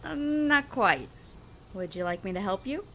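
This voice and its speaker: Noisy night-woods ambience surrounds a dry, exasperated female bandit , acted by a woman in an audio comedy. Her voice is medium in pitch, hesitant and wry, the sound of a robber who is too obliging for the job. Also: lively intonation, measured pace, a bit bright. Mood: sly, menacing. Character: wry female woodland robber, wearily helpful. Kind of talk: comedic audio drama acting.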